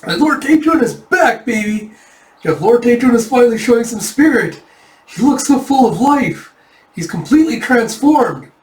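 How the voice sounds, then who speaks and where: A man plays a loyal fantasy soldier, excitable and fussing over his lord. The voice jumps up high and loud, with eager exclamations, caught at a distance in an echoey room. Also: normal speed, hardly any pauses. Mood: excited. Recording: echoey room, far from mic.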